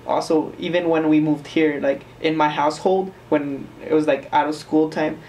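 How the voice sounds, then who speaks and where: Young mexican-born person musing in an interview, pretty monotone, mic a bit far off. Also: mid-range voice, normal speed, slight background noise. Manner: reflective, rambling, many fillers. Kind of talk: casual interview answers.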